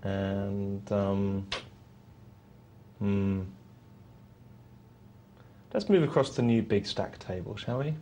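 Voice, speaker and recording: A man's voice: on the low side, slow pace, long pauses, mellow. A man co-hosting a live poker show, commenting on hands and bantering with a dry, self-deprecating humour.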